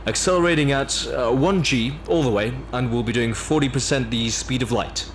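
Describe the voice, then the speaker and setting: A man's voice: mid-range voice, normal speed, slight background noise, close to an everyday voice. A man playing a cocky, wry space pilot with a sense of wonder in a scripted audio drama. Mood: cocky, playful. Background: music under the voice.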